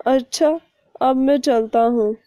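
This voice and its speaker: A woman's voice: sits high, takes it slowly, mellow, no room sound, low-quality recording. A woman voicing a humble, grateful male mouse in a cartoon story, with polite and deferential speech. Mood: sad, resigned.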